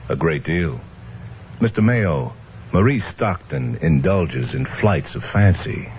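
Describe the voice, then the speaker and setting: A man playing a smooth, evasive estate lawyer in an old-time radio drama, polite and insinuating. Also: a bass voice, expressive, takes it slowly, darkish tone, exaggerated voice. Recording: dull, noisy old radio recording.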